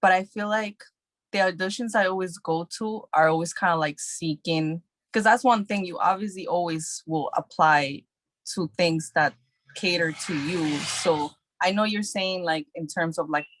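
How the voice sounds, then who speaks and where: A young woman talks in a loose, chatty way, her sentences full of filler likes. Her voice sits low for a woman, and the recording is dry and close with no room sound. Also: moderate pace, fairly bright tone, no echo. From New York City. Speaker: guest actress. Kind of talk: casual podcast chat.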